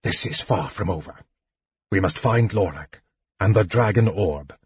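A man voicing a frail young mage in a fantasy audiobook, bitter, weary and cynical, speaking in a hushed way. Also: low voice, expressive, measured pace, husky, dry recording, exaggerated voice. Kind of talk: audiobook fantasy character dialogue. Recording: slightly muffled, dull sound.

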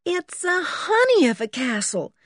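A woman voicing a dreamy, awestruck young girl in a storybook, with breathy wonder and drawn-out exclamations. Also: on the high side, expressive, dark tone, no echo, clean studio sound, pitched above the performer's own voice. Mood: gushing delight.

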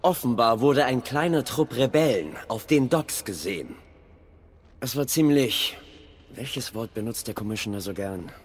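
An actor playing a measured, sceptical Victorian knight commander in an audio drama, husky and formal, with deliberate delivery. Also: male voice, medium pitch, animated.

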